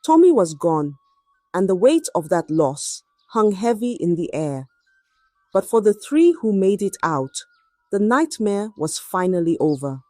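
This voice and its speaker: Slow, expressive woman storyteller. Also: mid-range voice, dry recording, studio quality, faint music behind. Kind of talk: dramatic scripted story narration.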